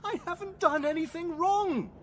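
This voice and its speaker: A man's voice: very high voice, moderate pace, a bit bright. A man playing a flustered, indignant suspect in a radio drama, defensive and stammering under pressure. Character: flustered, indignant male suspect, father of a young child.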